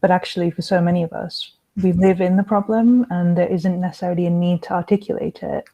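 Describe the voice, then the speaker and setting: Woman panel host, low flat mellow voice, relaxed moderating, video call. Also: fast talker, dull audio. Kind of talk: hosting a live-stream panel.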